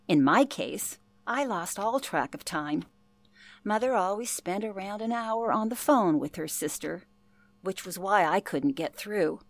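An actress playing an older former telephone operator, telling her past in an earnest, genteel way for a radio play. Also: medium pitch. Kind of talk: radio play, interview-style reminiscence.

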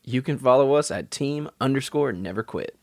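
A man's voice: medium pitch, lively intonation, normal speed, no echo, studio quality. A man co-hosting a podcast, speaking in an earnest, encouraging way. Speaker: podcast co-host.

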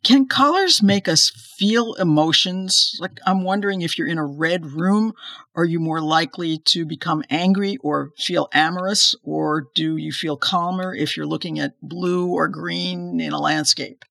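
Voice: mid-range voice, measured pace, creaky, bright, forceful tone, dry recording, studio quality. A podcast host asking curious, conversational interview questions.

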